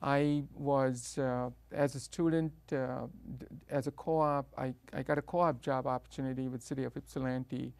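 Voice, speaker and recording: A middle-aged man with a South Indian accent speaks in a flat, level tone with a gravelly edge, recorded close and dry. He tells his story as an interview guest, hesitant and full of restarts. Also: medium pitch, moderate pace, hardly any pauses, no room sound. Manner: hesitant, many uh fillers and restarts.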